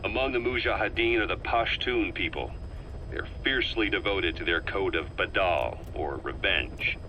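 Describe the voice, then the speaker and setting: An actor playing a grim, seasoned soldier giving a grave, deliberate war briefing in a video game. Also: male voice, low voice, sharp tone, a little hiss or hum, poor audio. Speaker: military briefing narrator in a game. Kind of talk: scripted briefing monologue in a video game cassette tape.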